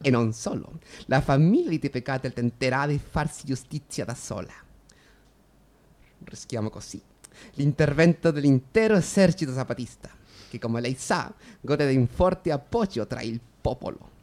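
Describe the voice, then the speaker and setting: A woman's voice: extremely low pitch, expressive, normal speed, a little mellow. A woman playing an officious court aide in a radio play, speaking formally and emphatically. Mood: warning, insistent. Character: officious, cautioning court aide advising a judge. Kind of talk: Italian radio theatre acting.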